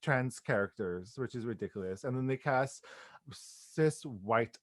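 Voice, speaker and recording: A man's voice: medium pitch, animated, measured pace, no room sound. Enthusiastic, informal man in a dry recording. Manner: effusive, informal, peppered with "like" and slang. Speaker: interviewed playwright and theatre director. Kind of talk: casual interview chat.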